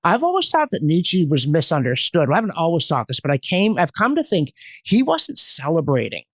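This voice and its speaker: Higher-voiced man, erudite and emphatic. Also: huge pitch swings, a little fast, no room sound, clean studio sound. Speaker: podcast guest, history commentator. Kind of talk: opinionated intellectual discussion.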